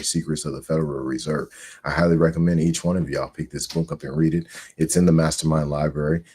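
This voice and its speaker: A man in his forties from the United States, hosting a show where he reads a book aloud and comments on it with measured, earnest delivery. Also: very deep voice, a little mellow, husky. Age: 40s. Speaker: host.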